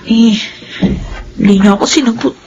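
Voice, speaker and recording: A woman's voice: a little high, moderate pace, a little mellow, muffled recording, close to an everyday voice. A woman with a Filipino accent playing an anxious, evasive younger sister in a radio drama, nervous and pleading. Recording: faint background noise.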